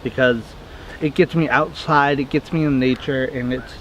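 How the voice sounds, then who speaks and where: A man's voice: mid-range voice, steady tone, moderate pace, slight background noise, poor audio. A man in his twenties chatting casually outdoors in a wooded yard, rambling and correcting himself as he answers viewer questions. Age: 20s. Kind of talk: casual Q&A vlog.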